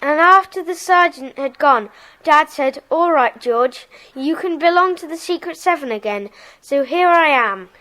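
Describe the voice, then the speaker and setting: Very high, eager schoolboy. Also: female voice, lively intonation, a little slow, sharp tone, heavily acted, low-quality recording. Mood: happy, relieved. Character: happy schoolboy, relieved to rejoin his gang. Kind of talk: audiobook radio-play dramatisation.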